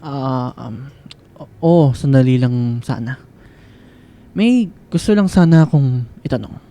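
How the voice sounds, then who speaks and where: A man with a Filipino accent playing a hesitant, soft-spoken young man hopelessly in love with his best friend, in a radio drama. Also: medium pitch, takes it slowly. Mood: hesitant, wistful.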